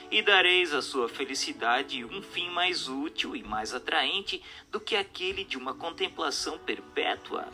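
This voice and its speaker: A man's voice: fairly high pitch, sharp tone. A Brazilian man reading a spiritual text aloud as an audiobook narrator, in a declamatory, didactic and emphatic manner. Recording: narration over music.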